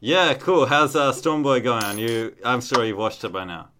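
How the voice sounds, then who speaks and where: A man's voice: mid-range voice, measured pace, background noise. An Australian man chatting casually and comedically as a podcast host.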